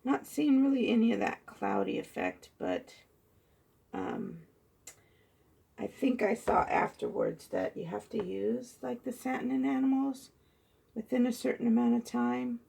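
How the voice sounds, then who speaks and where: A woman with a hoarse, froggy voice chatting softly as she pours and tilts acrylic paint, recorded on a phone mounted above her table. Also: a little high, big pitch swings, a little slow. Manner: soft-spoken, chatty, muttering while working. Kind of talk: casual narration while painting. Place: indoor craft table. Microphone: phone held high above the table.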